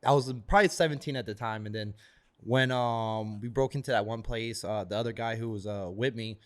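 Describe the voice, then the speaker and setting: A man in his thirties from Florida telling stories at a rapid clip. His talk is loose and rambling, packed with fillers and the odd curse. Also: on the low side. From Florida, born in Venezuela. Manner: rapid, rambling, heavy on "like" and swearing. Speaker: guest, tattoo artist. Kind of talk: casual storytelling.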